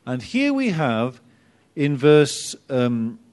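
Man, Bible class teacher, unhurried, chatty, self-deprecating, emphatic bursts. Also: medium pitch, very animated, a little mellow.

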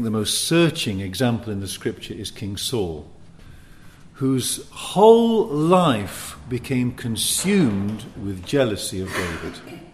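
A man preaching in a teaching sermon, speaking in deliberate, emphatic short phrases with pauses between them. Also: mid-range voice. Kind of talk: sermon teaching with scripture and anecdotes.

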